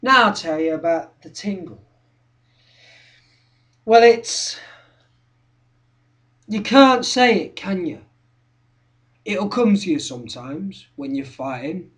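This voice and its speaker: A young skinhead lad, intense stage monologue, slow and repetitive, confrontational, far from the mic in an echoey room. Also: male voice, on the high side. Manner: obsessive, confrontational, repetitive, swinging from hushed to shouting. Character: intense young male skinhead street fighter reliving his violent past. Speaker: actor performing a stage monologue. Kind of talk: theatrical dramatic monologue, direct address. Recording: roomy echo, distant microphone.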